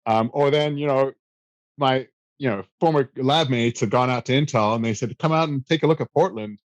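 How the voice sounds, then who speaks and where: A man in his thirties chats about his own past with a loose, self-deprecating ease, sprinkling his answers with fillers and small restarts. His voice sits at an ordinary middle pitch, recorded close in a dry room. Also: a little fast, no room sound. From South Africa. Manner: relaxed, self-deprecating storyteller with many fillers. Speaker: guest. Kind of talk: casual interview answers.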